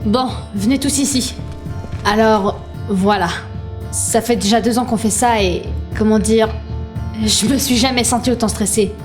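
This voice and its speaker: A woman's voice: fairly high pitch, a little slow, long pauses, over music, close to an everyday voice. A woman playing a confident young leader of a thief crew in a French audio drama, speaking in an assured, directive way. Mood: commanding.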